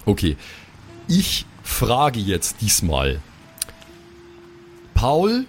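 Animated man playing a tabletop game. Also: lowish voice, extremely slow, a little hiss or hum. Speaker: role-play podcast player. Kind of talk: casual game chat.

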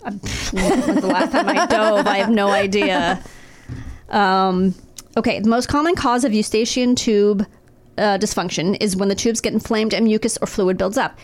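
A woman's voice: medium pitch, moderate pace. A woman in casual chat reading medical information aloud with wry, profane asides, recovering from nose and throat surgery.

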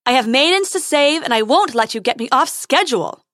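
A woman voicing a pompous young male prince who calls out loudly. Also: expressive, fairly quick, no echo, studio quality. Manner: loud, high, declaiming.

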